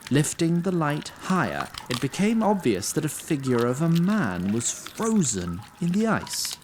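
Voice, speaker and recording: A man narrating a fantasy audio drama in a measured, literary storytelling manner with wry touches. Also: a little high, big pitch swings, a little mellow, slight background noise.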